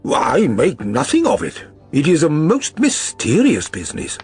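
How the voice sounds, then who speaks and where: A man's voice: a little high, huge pitch swings, unhurried, a little mellow, husky, soft background music, full character voice. A man voicing a plain-spoken, amiable, puzzled doctor, the companion in an audiobook detective tale. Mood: puzzled, agreeable. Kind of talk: audiobook character reading.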